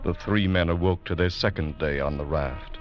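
A man narrating a 1940s radio drama in a grave, deliberate, ominous manner, on an old radio recording. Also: low-pitched, lightly acted. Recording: dull vintage radio recording, loud music.

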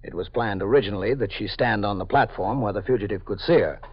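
An actor playing a police captain who narrates events as a clipped, factual report, in a 1950s radio drama. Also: male voice, fairly low pitch, quick pace, breathy edge. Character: steady, matter-of-fact male police captain. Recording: dull old radio broadcast recording.

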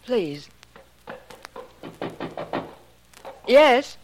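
Guarded scientist in vintage radio drama. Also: female voice, a little high, sing-song, very slow, exaggerated voice. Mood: courteous. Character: composed, guarded female scientist. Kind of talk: 1940s radio acting. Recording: faintly noisy 1940s radio recording.

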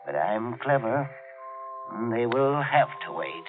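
A frail, fearful old man speaks slowly and haltingly in a 1940s radio drama. The recording is muffled, with organ music clearly behind him. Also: medium pitch, very animated. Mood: brooding, wary. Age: older. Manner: halting, fearful, brooding monologue.

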